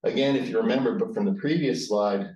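A middle-aged man with a deep, low voice lectures at a conference, explaining step by step. He speaks into a handheld mic in a roomy hall, and the sound reaches the recorder from some distance. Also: darkish tone, a little hiss or hum. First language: English. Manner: explanatory, measured with fillers. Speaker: invited speaker. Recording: echoey hall, from far off.

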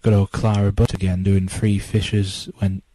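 Low-voiced man, easygoing, chatty storyteller. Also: moderate pace, a little hiss or hum. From North-East England. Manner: relaxed, chatty, colloquial. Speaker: interviewed record collector. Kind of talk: casual reminiscing chat.

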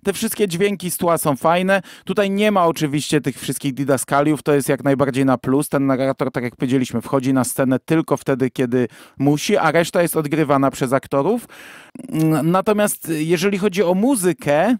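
Higher-pitched man chatting on a podcast. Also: normal speed, no echo, studio quality. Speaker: podcast co-host. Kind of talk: casual review discussion. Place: virtual studio, remote recording.

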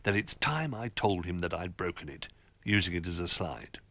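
A man's voice: low-pitched, animated, normal speed, gravelly, a bit bright, no echo, exaggerated voice. A man voicing a nervous, conscientious male toy rabbit in a storybook, hesitant and fretful. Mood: guilty.

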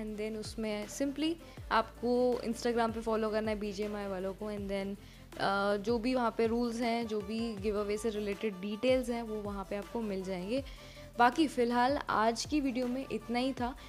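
A woman's voice: fairly high pitch, steady tone, normal speed. A woman with an Indian accent hosting a gaming channel, giving casual commentary over background music. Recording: music under the voice.

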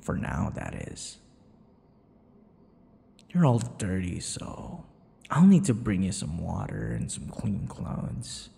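A man playing a possessive, cocky, menacing vampire captor in an ASMR roleplay, taunting in a breathy voice that often drops into whispers. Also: low-pitched, sing-song, takes it slowly, pauses often, mellow, in a large hall, full character voice. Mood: mildly amused. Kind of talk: ASMR boyfriend audio roleplay.

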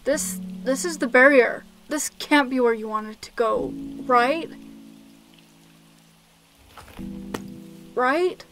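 Gentle, emotional male skeleton played by a woman: high register, unhurried, stammering, protective, amateur dub drama. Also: faint music behind, low-quality recording. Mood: anxious, pleading. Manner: halting, stammering, tender. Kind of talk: amateur comic dub acting.

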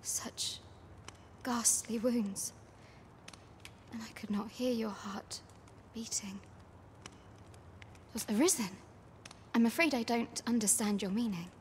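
A woman playing a caring young villager in a fantasy game, with soft, archaic formal speech. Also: on the high side, slow, pauses often, a little hiss or hum. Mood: tender, concerned. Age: young adult. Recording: music behind the voice.

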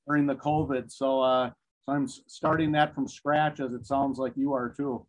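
A middle-aged company executive speaking evenly as a guest on a casual video-call interview. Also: male voice, medium pitch, little pitch movement, moderate pace, rough recording. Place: office room with a wall map, indoors. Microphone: video call webcam.

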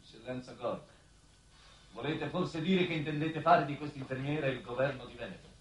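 A proud male official played by an actor speaks with cutting scorn in an old TV drama. His medium-pitched voice echoes around a studio set, away from the microphone. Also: normal speed, slight background noise, natural voice. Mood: scornful. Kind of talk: 1960s Italian television drama acting. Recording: echoey, distant old television soundtrack.

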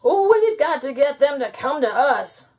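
A woman voicing a cold, weary bearded male gnome who shouts and exclaims in a children's story. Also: high voice, big pitch swings, a little slow, pitched above the performer's own voice, exaggerated voice. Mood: weary, shivering.

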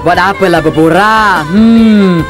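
A man's voice: sits high, slow pace. Nagging, exasperated cartoon mother. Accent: Singaporean Malay. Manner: nagging, complaining. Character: nagging, exasperated middle-aged housewife and mother. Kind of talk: cartoon voice acting. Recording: music clearly under dialogue.

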